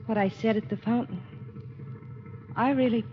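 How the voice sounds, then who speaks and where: A woman's voice: fairly high pitch, fairly even intonation, moderate pace, soft background music, close to an everyday voice. An American woman playing a cornered, regretful femme fatale in a vintage radio crime drama. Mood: resigned, confessing. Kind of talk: 1940s-50s radio crime drama acting. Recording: muffled, noisy old radio recording.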